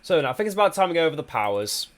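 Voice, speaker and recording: A man's voice: on the high side, very animated, very fast. A man with a slight cold, casually commentating a strategy game with plenty of hesitations and restarts. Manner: hesitant, many ums and restarts. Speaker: gameplay commentator.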